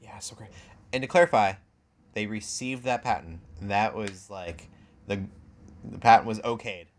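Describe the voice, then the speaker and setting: A man's voice: fairly low pitch, moderate pace, a little hiss or hum. A man co-hosting a podcast, chatting casually about video games in a playful, sarcastic way. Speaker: podcast co-host.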